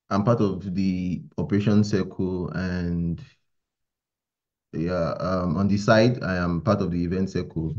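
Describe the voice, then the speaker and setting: Man, low voice, casual self-introduction, slightly dull call audio. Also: a little mellow. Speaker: community meeting participant.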